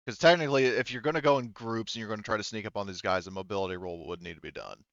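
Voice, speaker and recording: A man's voice: fairly low pitch, rapid-fire, fairly bright tone, no room sound, dull audio. A man talking casually as game master of a tabletop game, narrating and going over rules in an informal, sweary way.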